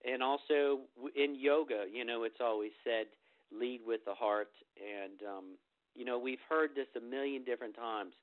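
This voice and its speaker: A man's voice: mid-range voice, normal speed. A man with a cold hosting a group phone call, talking loosely with many fillers and restarts before leading a meditation. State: getting over a cold. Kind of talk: informal spiritual talk before a meditation. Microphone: telephone. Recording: phone-line recording.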